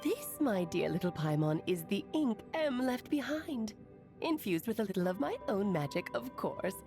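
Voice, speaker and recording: A woman's voice: mid-range voice, big pitch swings, moderate pace, music in the background. A woman voicing a playful, theatrical witch aunt who teases fondly and laughs between her lines. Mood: knowing.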